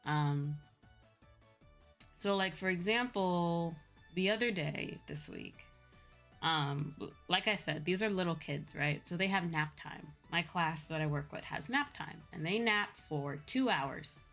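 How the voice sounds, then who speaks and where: A woman with a Midwestern American accent telling stories casually as a livestream host, in an animated, chatty manner. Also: lowish voice, unhurried. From Midwestern United States. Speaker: streamer and VTuber host. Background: background music.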